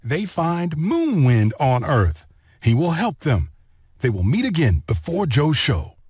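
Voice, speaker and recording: A man narrating a children's read-along story in an expressive, deliberate storytelling manner. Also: a little high, dry recording, clean studio sound. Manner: expressive, deliberate storytelling delivery with clear phrasing.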